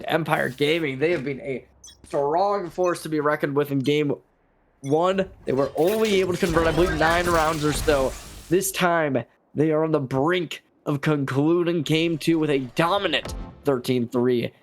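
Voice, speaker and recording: A man's voice: fairly high pitch, a little slow, faint music behind. A man giving live analysis as an esports caster, conversational and opinionated, with emphatic phrasing.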